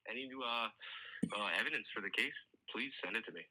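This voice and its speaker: A man's voice: mid-range voice, fairly quick, pushed and bright. A man talking informally as a police detective on a recorded work phone call, explaining things in a hedging, conversational way.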